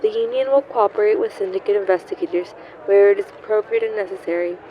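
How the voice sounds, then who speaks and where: An actress playing a cool, stern government spokesperson delivering a formal, measured press statement in an audio drama. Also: female voice, medium pitch, muffled recording, a little hiss or hum, close to an everyday voice. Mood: cool, firm.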